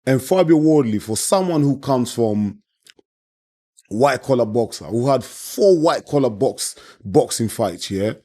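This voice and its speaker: A man giving a casual, passionate post-fight reaction as a channel host, his voice hoarse and worn out from shouting. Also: mid-range voice, animated, measured pace. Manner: passionate, repeats himself, keeps saying "man".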